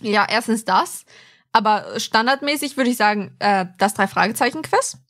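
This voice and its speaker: A woman's voice: a little high, expressive, normal speed, a bit bright. A woman co-hosting a podcast, chatting informally and bluntly. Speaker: podcast co-host. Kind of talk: casual chat.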